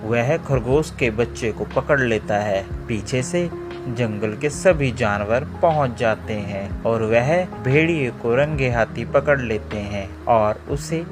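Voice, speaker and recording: Slow-paced male storyteller over music. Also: medium pitch. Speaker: narrator. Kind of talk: scripted children's story narration.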